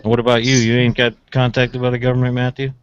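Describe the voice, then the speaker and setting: A man's voice: medium pitch, completely flat delivery, fast talker, clear, resonant tone, no room sound. An American man hosting a radio show in casual chat, rambling informally through his stories. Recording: dull, lo-fi audio.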